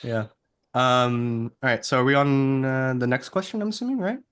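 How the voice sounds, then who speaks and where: Casual, halting male interviewer. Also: medium pitch, a bit bright, clean tone.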